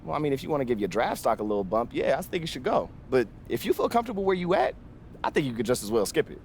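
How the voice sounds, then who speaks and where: A man's voice: medium pitch, big pitch swings, rapid-fire, slight background noise. A man playing a brash, joking young hustler and best friend in a game, slangy and cocky. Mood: businesslike. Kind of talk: casual game cutscene banter.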